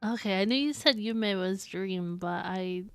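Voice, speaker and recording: A woman's voice: mid-range voice, a little slow, a bit bright, clean tone, dry recording, soft background music. A woman streaming a game with casual, informal, playful live commentary.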